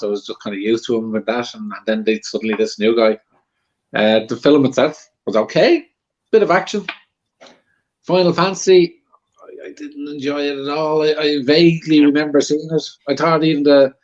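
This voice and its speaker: Mid-pitched man on a dull recording. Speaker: panel guest. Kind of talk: casual film discussion.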